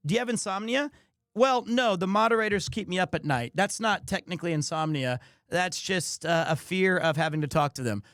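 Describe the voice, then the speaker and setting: A male streamer with a fairly high voice talks casually to his viewers. He rambles and jokes at his own expense. The sound is close and dry. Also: expressive, fairly quick, fairly bright tone, no room sound. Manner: joking, self-deprecating, rambling. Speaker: streamer, host. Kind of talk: casual livestream chat with viewers.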